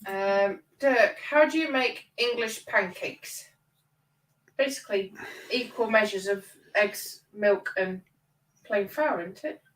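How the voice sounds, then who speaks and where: A woman's voice: medium pitch, slow pace, fairly bright tone, echoey room, far from the mic, rough recording. A woman with a stuffy cold, co-hosting a casual livestream and reading out viewer comments by name. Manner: chatty, addresses viewers by name. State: congested from a cold. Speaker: livestream co-host.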